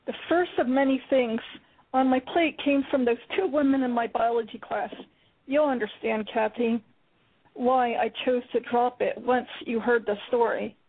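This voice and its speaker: A woman's voice: on the high side, fairly even intonation, moderate pace, husky. A woman voicing a blunt, sarcastic young female student in an amateur radio play. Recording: tinny phone line with faint music.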